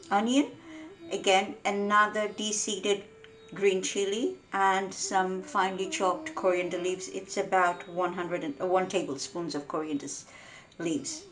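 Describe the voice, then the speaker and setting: A woman from Bangladesh hosting a home cooking show, explaining each step slowly and carefully. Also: mid-range voice.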